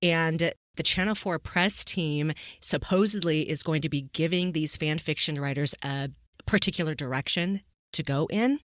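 A woman's voice: low voice, normal speed, no room sound, clean studio sound. A woman hosting a podcast in a conversational, emphatic way, often checking in with rhetorical questions. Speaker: podcast host.

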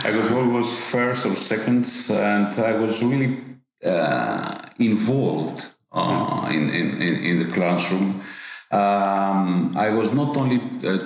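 A middle-aged Greek man with a Greek accent, an executive answering interview questions haltingly, with many fillers and repeated words. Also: deep voice, heavy reverb. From Greece. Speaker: business executive guest.

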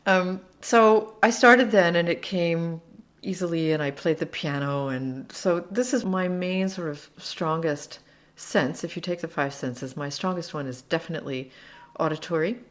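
A woman's voice: lowish voice, measured pace. A middle-aged woman, a literary translator, answering interview questions in a reflective, anecdotal way with frequent restarts.

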